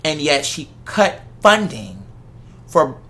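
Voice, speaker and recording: A bright, forward voice with a middling pitch. The host talks in an opinionated, conversational way, leaning hard on points and repeating phrases to drive them home. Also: sing-song, slow pace. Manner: emphatic, repeats phrases for stress.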